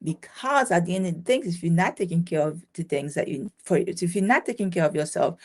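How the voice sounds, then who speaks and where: A woman's voice: fairly low pitch, animated, brisk, slightly rough. A woman born in Haiti, a business owner talking casually in an interview, with many restarts and a ready laugh. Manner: chatty, many restarts and fillers, laughs easily. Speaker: guest, caregiving business owner.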